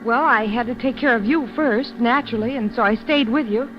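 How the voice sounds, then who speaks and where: An actress playing a private eye's loyal, sharp-witted woman companion in an old radio drama, questioning and dryly teasing. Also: fairly high pitch, brisk, close to an everyday voice. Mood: concerned. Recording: muffled old radio recording, music behind.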